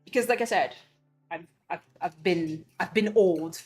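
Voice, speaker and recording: A woman's voice: on the low side, unhurried, darkish tone. Woman streaming, heated blunt rant, swears a lot, faint music behind. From Belgium. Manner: blunt, heated ranting with cursing and restarts. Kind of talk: casual chat.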